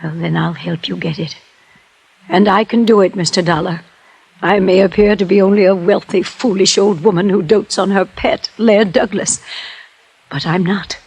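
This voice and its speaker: An actress playing a wealthy, shrewd older widow in a 1950s radio drama, formal and emphatic with a husky voice. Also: female voice, mid-range voice, steady tone, normal speed, darkish tone, dry recording, rough recording. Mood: proud, determined.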